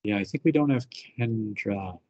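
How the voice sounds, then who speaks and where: A man helping run an online meeting, halting with many ums and restarts. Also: lowish voice, a little mellow, no echo. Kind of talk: casual meeting talk. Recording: dry, dull lo-fi call audio.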